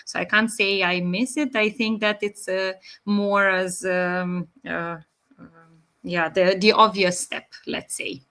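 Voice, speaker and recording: A woman in her thirties with a Romanian accent, answering interview questions in a measured way with many pauses and fillers. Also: medium pitch, flat delivery, a bit bright. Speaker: guest. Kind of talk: interview answers, online live session.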